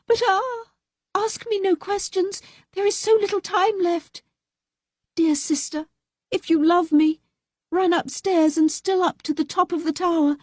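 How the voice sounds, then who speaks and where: A woman voicing a terrified young wife who pleads for her life in a high, desperate voice. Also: steady tone, unhurried, darkish tone, dry recording, studio quality.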